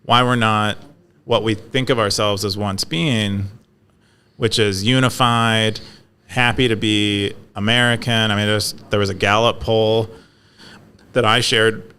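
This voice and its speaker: A man's voice: lowish voice, steady tone, unhurried, pushed and bright, clear, resonant tone. A man from California in a casual talk, explaining an idea with many fillers, restarts and hesitations. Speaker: podcast co-host. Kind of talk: casual conversational discussion.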